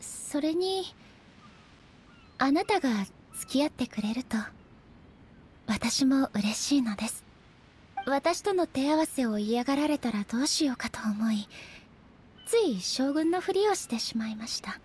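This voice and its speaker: A gentle, earnest warrior deity from a Japanese game. She has a high voice with soft, courteous phrasing that sometimes turns breathy. The delivery is polite and sincere. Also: lively intonation, a little slow, long pauses, slight background noise. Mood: gentle, candid. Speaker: video game voice actress. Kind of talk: Japanese game character voice acting.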